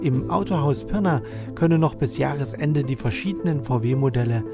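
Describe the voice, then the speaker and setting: A man's voice: mid-range voice, expressive, normal speed, vocal fry, loud music behind the voice. A man reading a scripted voice-over for a television news report. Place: voice-over recording with music behind.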